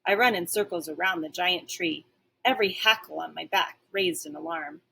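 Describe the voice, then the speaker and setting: A woman's voice: on the low side, moderate pace, creaky, bright, forceful tone, slightly rough, low-quality recording. A woman reading a children's story aloud like a teacher, expressively, with dramatic and varied emphasis.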